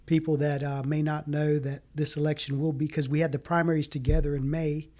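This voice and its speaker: A man raised in the American South whose first language was Greek, talking earnestly in a casual interview, with many halting fillers. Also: a little high, level tone, warm tone. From Fayetteville, North Carolina. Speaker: city council candidate.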